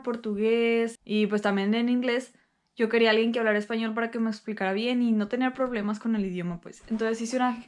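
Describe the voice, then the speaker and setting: A young woman with a Mexican accent, telling stories from her week in a chatty, colloquial vlog style. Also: a little high. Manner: chatty, colloquial, acts out reported dialogue. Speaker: vlogger. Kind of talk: casual vlog storytelling.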